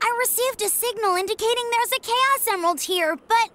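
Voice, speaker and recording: A woman's voice: very high-pitched, fairly quick, pushed and bright. A woman playing an eager, earnest little boy fox sidekick in a video game. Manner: earnest, youthful. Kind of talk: video game cutscene acting.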